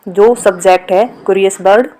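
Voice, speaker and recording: A woman with an Indian accent explaining a lesson step by step as a teacher, reading out examples slowly and deliberately. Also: medium pitch, steady tone, mellow, low-quality recording. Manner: instructional, reads example sentences slowly and deliberately. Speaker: grammar teacher. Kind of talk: step-by-step lesson explanation mixing Hindi and English.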